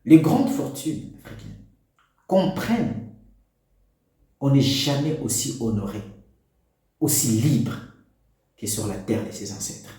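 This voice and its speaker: A man's voice: mid-range voice, sing-song, slow, long pauses, warm tone. Preachy man in echoey room. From DR Congo. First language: Congolese national language. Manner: preachy, exhorting, full of rhetorical questions. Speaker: commentator addressing parents. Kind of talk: opinion monologue to camera.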